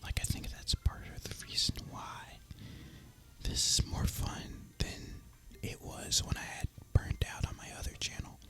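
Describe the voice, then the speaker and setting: Man whispering breathy, casual ASMR chat. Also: a little slow, low-quality recording. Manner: relaxed, rambling, casual and reflective. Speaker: video creator and gamer.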